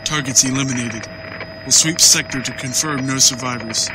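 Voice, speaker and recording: An actor playing a businesslike military pilot giving clipped radio reports in an audio drama. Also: male voice, mid-range voice, normal speed, noisy background, close to an everyday voice.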